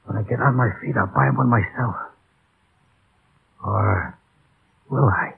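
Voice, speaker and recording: Creaky-voiced, wounded young pilot. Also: male voice, medium pitch, a little slow, full character voice. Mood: fading, brave. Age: young adult. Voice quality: gravelly, creaky. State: weak, badly hurt. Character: brave young injured pilot, stoic and plain-spoken. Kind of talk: 1930s radio drama acting. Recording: dull, faintly noisy old radio recording.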